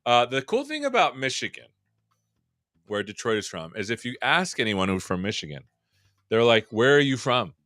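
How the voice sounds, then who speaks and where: A man's voice: medium pitch, very animated, moderate pace, a bit bright, dry recording, clean studio sound. Upbeat, joking male show host. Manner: jokey, rambling and upbeat. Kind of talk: casual live-stream chat.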